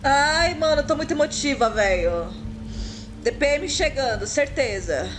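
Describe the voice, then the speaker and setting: A Brazilian woman streamer with a Brazilian Portuguese accent, reacting loudly and playfully over gameplay. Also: high voice, lively intonation, moderate pace, background noise. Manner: loud, emotional, playful.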